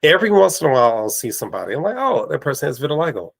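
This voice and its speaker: A man hosting a casual podcast chat, his voice raspy from allergies, telling lively stories and acting out quoted remarks. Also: on the high side, rapid-fire. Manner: animated storyteller, acts out quoted exchanges. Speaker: podcast host. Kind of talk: casual conversation.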